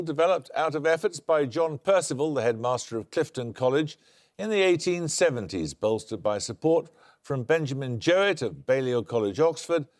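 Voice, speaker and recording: An older man hosting a televised quiz, reading questions and introductions in a crisp, brisk manner in a studio. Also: medium pitch. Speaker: quiz show host.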